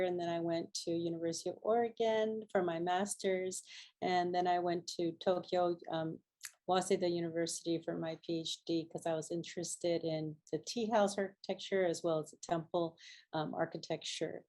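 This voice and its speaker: A middle-aged woman from Hawaii gives a lecture over a video call. Her voice sits a little low, and she talks in a halting way, filling gaps with ums and restarting words as she goes. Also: little pitch movement, darkish tone. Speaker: guest lecturer, architect.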